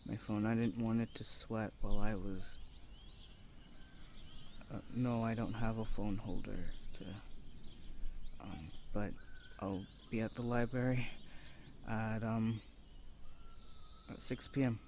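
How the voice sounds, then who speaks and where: A man's voice: on the low side, steady tone, slow, pauses often, darkish tone, slight background noise. A man playing a shy, flustered young male university student in an ASMR roleplay, stammering and speaking in a hesitant, awkward, earnest way. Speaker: voice actor. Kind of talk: ASMR boyfriend audio roleplay.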